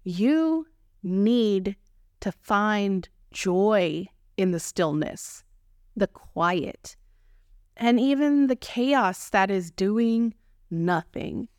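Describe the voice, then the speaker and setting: A woman in her thirties with a Texan accent, hosting a solo podcast in a playful, expressive way with casual slang. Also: medium pitch, very slow, smooth, dry recording, clean studio sound. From Texas, United States. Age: 30s. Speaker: podcast host.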